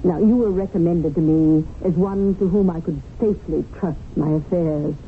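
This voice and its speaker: Tinny old radio actress as coy society widow. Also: female voice, on the low side, normal speed, lightly acted. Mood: coy, teasing. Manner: coy, airy, affected socialite drawl. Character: flirtatious, name-dropping wealthy society widow, breezily self-assured. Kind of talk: radio drama acting. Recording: tinny band-limited old radio broadcast, hissy.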